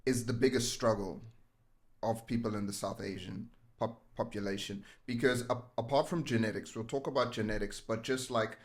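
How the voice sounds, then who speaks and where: A man's voice: on the low side, steady tone, normal speed. Man hosting a thoughtful interview. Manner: thoughtful questioner, restarts mid-phrase. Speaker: podcast host. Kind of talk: casual interview conversation.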